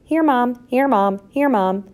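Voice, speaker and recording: A woman puts on a tiny storybook mouse child. The voice is high, small and piping. It sounds innocent and plaintive, like a very young one. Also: slow pace, darkish tone. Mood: eager.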